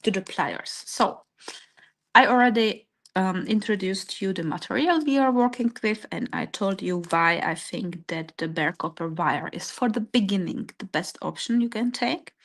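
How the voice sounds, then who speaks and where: A woman's voice: mid-range voice, expressive, moderate pace. A woman with a Czech accent leading an informal live craft tutorial step by step, hesitantly searching for her English words. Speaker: craft workshop instructor.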